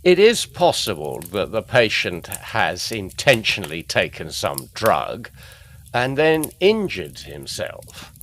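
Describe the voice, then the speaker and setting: Slow, deep-voiced courteous detective. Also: male voice, huge pitch swings, a bit bright, slight background noise, heavily acted. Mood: measured, curious. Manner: deliberate, deferential, slightly hesitant. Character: courteous, methodical, seasoned male police detective. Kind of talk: gothic audio drama acting.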